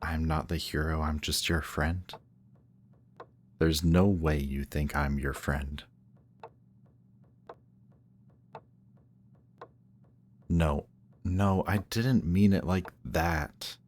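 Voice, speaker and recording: A man playing a grumpy, guarded villain who is secretly softhearted, speaking haltingly and defensively in an intimate one-sided roleplay audio. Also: very deep voice, slight background noise. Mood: self-doubting, vulnerable. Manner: halting, defensive, reluctantly tender. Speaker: voice actor.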